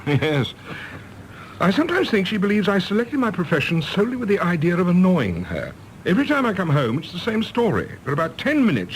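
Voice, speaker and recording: Irritable English diplomat, pompous, clipped, lightish high voice, wildly varied intonation, radio stage play. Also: male voice, slight background noise, low-quality recording. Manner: clipped, pompous, formally polite. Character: irritable, aloof English diplomat, weary grown son.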